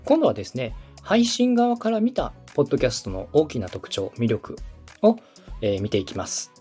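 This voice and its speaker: A man's voice: mid-range voice, big pitch swings, normal speed, mellow. A man in his thirties from Osaka hosting a podcast, explaining things politely and step by step to a co-host. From Osaka, Japan. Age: 30s. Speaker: podcast host and radio director. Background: music.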